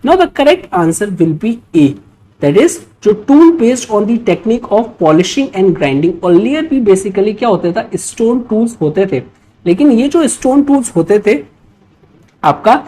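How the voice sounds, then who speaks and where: Mellow-voiced Indian woman teaching. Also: mid-range voice, very animated, slow pace. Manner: instructional, with frequent check-in tags. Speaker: history teacher for exam preparation. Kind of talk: explanatory lecture mixing Hindi and English, reading out quiz questions.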